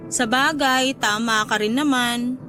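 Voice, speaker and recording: High-voiced, teasing young woman. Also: a little slow, pushed and bright, faint music behind. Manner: playful, teasing, laughing. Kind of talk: Filipino radio drama acting.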